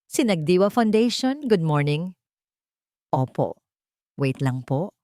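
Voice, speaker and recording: A polite Filipino office assistant in an audiobook story, played by a woman narrator. The delivery is deferential, courteous and businesslike, with lively intonation. It sounds dry and close. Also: fairly low pitch, takes it slowly, no echo. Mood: polite, attentive. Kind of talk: audiobook character dialogue.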